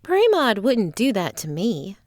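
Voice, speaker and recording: A woman's voice: medium pitch, huge pitch swings, a little slow, a little mellow, no room sound, studio quality. A woman playing an earnest, confident traveling performer in a fantasy audio drama.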